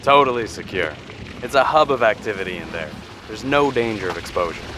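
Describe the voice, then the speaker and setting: A man's voice: on the low side, lively intonation, fairly quick, natural voice. A man playing a courteous, folksy, plain-spoken military helicopter pilot in an audio drama. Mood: calm, reassuring. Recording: loud engine and battlefield noise effects.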